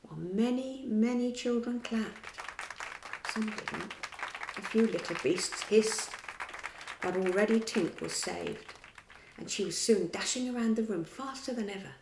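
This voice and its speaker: A woman's voice: medium pitch, measured pace, noisy background. A woman reading a children's story aloud with a dramatic delivery that drops to whispers. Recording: echoey room.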